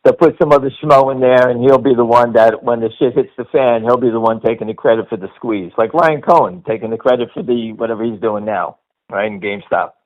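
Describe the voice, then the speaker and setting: A man's voice: mid-range voice, fairly quick. A middle-aged American man hosting a stock-trading conference call with off-the-cuff commentary, blunt and emphatic, often repeating himself. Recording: dull lo-fi conference-call audio.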